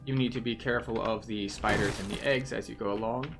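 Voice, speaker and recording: A man's voice: on the low side, steady tone, brisk. Male game tour guide, explanatory and methodical, music under the voice. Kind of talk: playthrough commentary.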